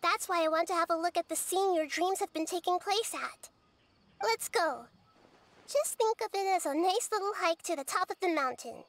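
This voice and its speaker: A woman voicing a small, childlike goddess in a video game, calm, curious and thoughtful, explaining things in a measured way. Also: very high voice, dry recording.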